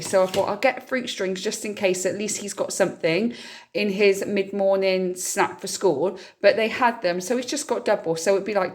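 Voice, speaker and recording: Slightly nasal British woman vlogger, chatty. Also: mid-range voice. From United Kingdom. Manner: chatty, informal, explanatory. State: getting over a cold, slightly scratchy throat. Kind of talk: casual chatty grocery haul vlog.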